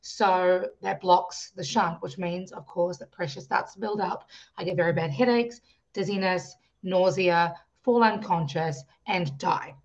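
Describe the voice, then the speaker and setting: A woman's voice: on the low side, fairly even intonation, unhurried, darkish tone. New Zealand woman, dull lo-fi sound. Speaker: podcast guest, musician and youth coach. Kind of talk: conversational personal storytelling in an interview.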